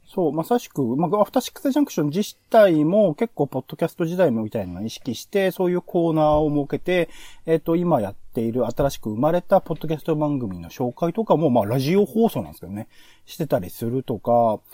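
A man talks as a podcast co-host in a fairly high, very animated voice. His delivery is quick and explanatory, running on through long linked thoughts. The tone is on the soft, mellow side. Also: dry recording. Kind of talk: casual discussion of radio shows.

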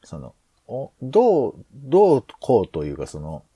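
A man's voice: mid-range voice, huge pitch swings, a little slow, warm tone, breathy edge, no echo. A man co-hosting a podcast in casual discussion, speaking tentatively and circling around his points. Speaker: podcast co-host.

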